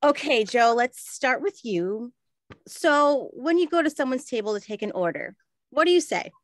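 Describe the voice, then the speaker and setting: A woman's voice: high-pitched, expressive, moderate pace, dry recording. An actress playing a refined, helpful lady of the 1920s, speaking politely and precisely in a period comedy. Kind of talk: period comedy radio-play acting.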